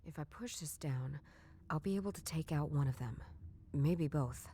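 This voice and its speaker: An actress playing a tough, dry-witted woman detective who narrates a noir game in a deadpan, understated way. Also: deep voice, normal speed, breathy edge. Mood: intent, wary.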